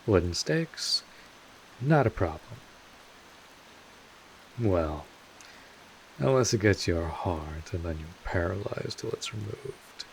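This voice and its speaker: A man playing a wry, laid-back vampire mentor in an intimate audio drama, casual and sardonic, with drawn-out pauses, protective and a little world-weary. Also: low voice, expressive, gravelly, slight background noise, heavily acted, rough recording. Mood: amused, teasing.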